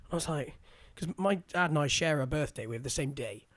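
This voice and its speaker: A man's voice: fairly high pitch, a little fast, fairly bright tone, no echo. A man in his early twenties chatting casually, with a teasing, playfully protesting manner. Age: early 20s. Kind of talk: casual bantering chat.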